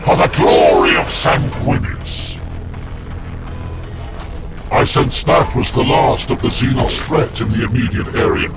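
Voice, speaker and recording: Game casting: man as solemn armoured battle-mystic, mid-pitched, devout and portentous, loud score behind. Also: measured pace, long pauses, natural voice. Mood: zealous. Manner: portentous, archaic, formal. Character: grave mystical warrior-seer in a dreadnought, male, devout. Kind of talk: dramatic video game acting. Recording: loud cinematic music behind the voice.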